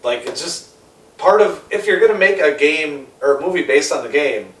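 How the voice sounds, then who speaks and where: A man with a very animated, slightly high voice debates casually as a show host. He is emphatic, sarcastic and quick to swear. The recording is roomy and distant, with faint noise. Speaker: podcast host debating films.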